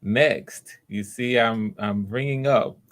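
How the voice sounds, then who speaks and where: A man's voice: lowish voice, takes it slowly, fairly bright tone, no echo. A man hosting auditions, chatting casually in an encouraging, supportive way. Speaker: host of live voice auditions. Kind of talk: casual chat.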